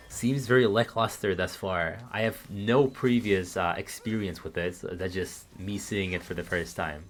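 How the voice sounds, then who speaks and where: A man casually commentating a live esports match on his stream, relaxed and laughing often. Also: sits low, unhurried, slight background noise.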